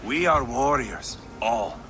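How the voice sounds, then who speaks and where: An actor playing a brash, volatile Viking warrior in a video game, boastful and battle-hungry, with a swaggering, mocking delivery. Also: male voice, medium pitch, expressive, takes it slowly, a bit bright, slight background noise, poor audio. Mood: brooding. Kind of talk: dramatic video game acting.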